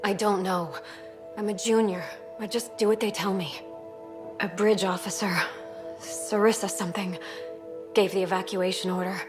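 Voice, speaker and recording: A woman acting a weary alien refugee officer in a game cutscene. Her mid-pitched voice is that of a worn survivor, with music playing behind it. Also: steady tone, moderate pace, long pauses, lightly acted. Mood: haunted. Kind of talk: video game cutscene dialogue.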